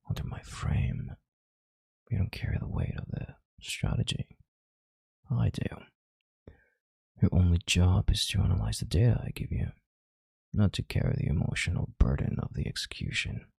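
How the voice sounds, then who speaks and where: An extremely low, husky male voice acted by a man plays a domineering CEO in an intimate audio roleplay. He murmurs with heavy vocal fry and calm authority, condescending yet tender. The sound is close and dry. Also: normal speed, pauses often, no room sound, exaggerated voice. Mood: commanding, reassuring. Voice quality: very deep, gravelly, breathy murmur.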